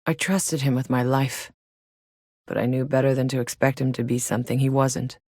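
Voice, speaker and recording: A woman's voice: rumbling low, level tone, normal speed, a little mellow, breathy edge, no echo, clean studio sound, full character voice. A woman playing a tough, wry soldier scouting a hostile alien world in a video game, speaking terse, matter-of-fact scripted lines. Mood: wry, reflective.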